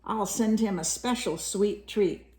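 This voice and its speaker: A woman voicing a kindly, generous male sun bear in a children's storybook, reading in a friendly, expressive way. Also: mid-range voice, measured pace, lightly acted. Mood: sympathetic.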